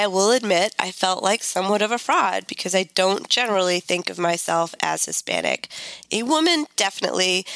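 A middle-aged woman hosting a solo podcast, congested from a cold, reading a speech in an earnest, measured way. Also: medium pitch, fairly bright tone, no echo, rough recording. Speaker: podcast host.

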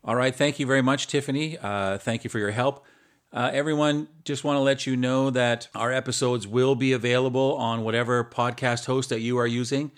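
A man's voice: mid-range voice, fairly even intonation, fairly quick. Earnest man hosting a podcast. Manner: earnest, with some restarts. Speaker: podcast host. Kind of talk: casual podcast chat.

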